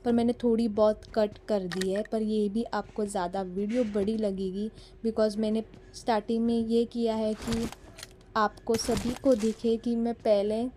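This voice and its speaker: A woman's voice: a little high, warm tone, slight background noise. Chatty Marathi woman with Mumbai Hindi. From Maharashtra, India. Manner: chatty, self-deprecating, mixes Hindi and English. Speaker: mobile gaming YouTuber. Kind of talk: casual voice-over commentary on her gameplay. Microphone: phone mic.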